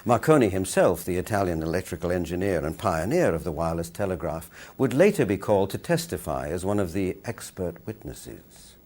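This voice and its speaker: A man's voice: sits low, sing-song, brisk, few pauses, a little mellow. A man hosting a historical audio production, delivering scripted documentary narration. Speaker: host and narrator.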